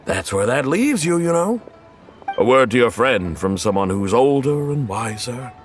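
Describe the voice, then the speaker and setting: A man's voice: mid-range voice, sing-song, moderate pace, faint music behind, lightly acted. A man voicing a jovial, teasing older fellow in a video game, with a theatrical, avuncular drawl. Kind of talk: video game cutscene dub acting.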